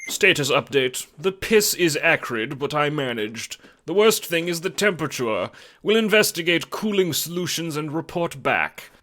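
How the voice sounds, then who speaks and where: A man's voice: a little high, very animated, moderate pace, pushed and bright, pitched above the performer's own voice. A man voicing an odd, stoic survivor who talks in clipped, formal telegram phrases in an audio drama. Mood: stoic, matter-of-fact.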